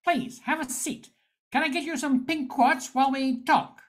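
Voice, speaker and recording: A woman's voice: high voice, moderate pace, lightly acted. A woman playing a wry nightclub owner who narrates a space noir in an amateur radio play. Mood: evasive, wry.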